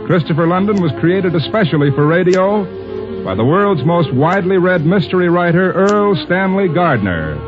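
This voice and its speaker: A dull vintage radio recording with music frames an American man announcing from a script. His rather high voice swings widely in pitch, in classic old-time radio style. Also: lively intonation, normal speed.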